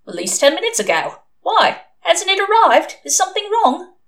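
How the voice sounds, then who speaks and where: A woman voicing a male demon lord speaking over a car radio, brisk and officious. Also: very high voice, sing-song, a bit bright, pitched above the performer's own voice, exaggerated voice. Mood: alarmed.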